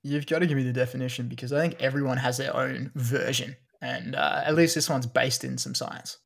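An Australian man co-hosting a relaxed science podcast. He walks through research findings in an explanatory way, dotting his talk with ums and uhs at a middle pitch. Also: fairly quick, gravelly, husky. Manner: explanatory, with frequent fillers. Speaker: podcast co-host. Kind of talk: casual science chat.

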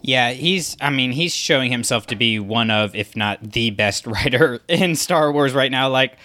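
Male podcast co-host, bright tone, casual rambling chat. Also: mid-range voice, normal speed. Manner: rambling, lots of fillers and restarts.

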